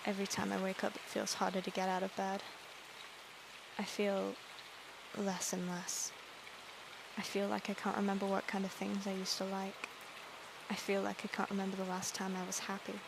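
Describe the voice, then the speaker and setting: A woman voicing a depressed young princess while reading a visual novel aloud, moving from quiet, tired lines to loud outbursts. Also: medium pitch, completely flat delivery, normal speed, creaky, noisy background, lightly acted. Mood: weary, hollow. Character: depressed young princess, hollow and self-loathing. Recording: music and rain behind the voice.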